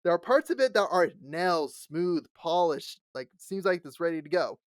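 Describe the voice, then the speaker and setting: A man's voice: fairly high pitch, animated, normal speed, no room sound. Late-twenties man, laughing, dry close mic. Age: late 20s. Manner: laughs often, acts out quoted voices. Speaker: podcast co-host. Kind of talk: casual chat.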